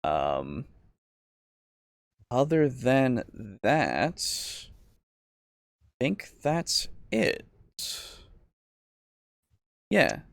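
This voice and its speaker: A man's voice: medium pitch, wildly swooping pitch, very slow, gravelly, long pauses, no room sound, studio quality. A man hosting a podcast, talking casually in an informal, rambling way and prone to chuckling. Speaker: podcast host. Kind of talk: casual podcast chat and show sign-off.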